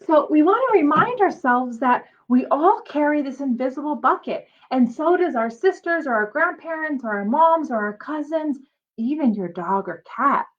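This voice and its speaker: Animated, high-voiced woman reading to children. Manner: animated, drawn-out emphasis, explains to children as she goes. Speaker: teacher reading aloud to children. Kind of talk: expressive children's storybook read-aloud with asides. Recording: dull lo-fi sound.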